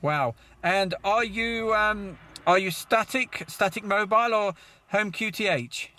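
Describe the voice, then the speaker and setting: A man's voice: high-pitched, measured pace, pushed and bright. A man chatting casually as controller of a CB radio net, in a hesitant, chatty way. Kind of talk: casual amateur radio chat. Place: mobile radio setup.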